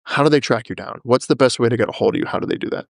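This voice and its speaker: A male interviewer talks at a rapid clip in a casual podcast style. His delivery is blunt and emphatic, with a noticeable gravelly fry, and the sound is close and dry. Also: mid-range voice, dry recording. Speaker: podcast host.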